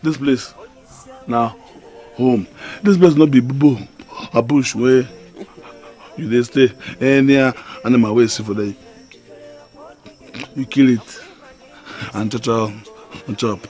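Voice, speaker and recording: An actor with a Nigerian accent playing a flustered village husband and pleading peacemaker, speaking haltingly and repeating himself in pidgin, in a film drama. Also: male voice, mid-range voice, dark tone, soft background music, natural voice. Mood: earnest explaining. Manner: halting, repetitive pidgin delivery.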